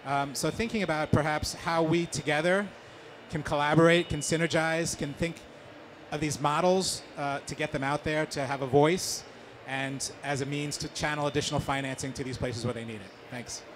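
A man speaking as a panelist at a conference, thinking aloud with frequent pauses and restarts. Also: on the high side, steady tone, a bit bright, a little hiss or hum. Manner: thoughtful, many fillers and restarts. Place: large conference pavilion indoors.

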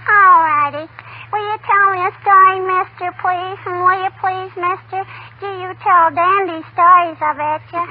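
A woman playing a cheeky, pestering little girl with drawn-out childish talk in a 1940s radio comedy. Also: extremely high pitch, steady tone. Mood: wheedling curiosity. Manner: drawn-out childish talk with repeated tag phrases. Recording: tinny, noisy 1940 radio broadcast.

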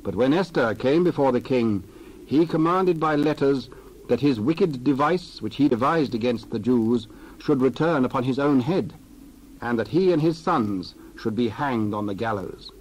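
A man narrating a dramatized scripture reading in a measured, solemn way with deliberate phrasing. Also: mid-range voice, expressive, slight background noise, low-quality recording. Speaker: Bible narrator.

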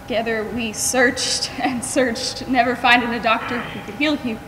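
An actress on a theatre stage playing a gentle, devout woman who comforts the dying, speaking tenderly and deliberately. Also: on the high side, fairly even intonation, natural voice. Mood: grieving. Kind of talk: stage play acting. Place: large theatre stage, recorded from the audience. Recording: echoey theatre stage, some hiss.

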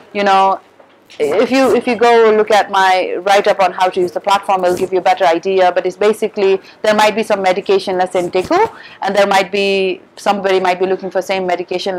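A woman's voice: mid-range voice, rough recording. A woman, explaining things informally as an interviewee. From Nepal. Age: 30s. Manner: conversational, explanatory. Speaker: interviewee, volunteer organizer. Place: indoor room, seated.

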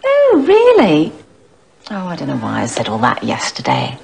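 A middle-aged woman acting a troubled married teacher in a television drama, speaking with an English accent, stammering, breathy and hesitant. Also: low voice, huge pitch swings, moderate pace, darkish tone, heavily acted. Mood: flustered. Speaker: teacher in a soap opera.

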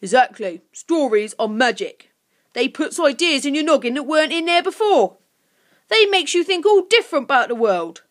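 A woman's voice: a little high, very animated, a little slow. A woman voicing a grumpy, bossy male monster with menacing broken-grammar speech in a storybook. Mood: scolding, grumpy.

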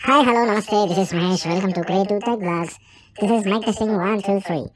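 A man's recorded test phrase played back through a helium voice-changer filter that makes it squeaky. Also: extremely slow, crowd noise. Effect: helium pitch-shift filter.

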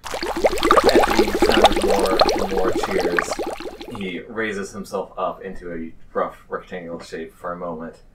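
A man improvising narration as game master of a tabletop game, speaking haltingly. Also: low-pitched, wildly swooping pitch. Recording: distant mic, faint noise.

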